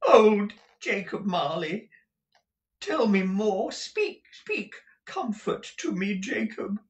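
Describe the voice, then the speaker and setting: A man voicing a frightened old miser who cries out in a high, cracking, pleading voice. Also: sing-song, slow pace, pushed and bright, roomy sound, far from the mic, full character voice. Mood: terrified.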